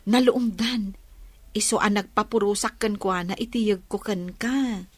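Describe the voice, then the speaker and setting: A woman's voice: medium pitch, fairly even intonation, unhurried, no room sound, natural voice. A woman acting as a friendly, considerate village woman in a radio drama. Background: music.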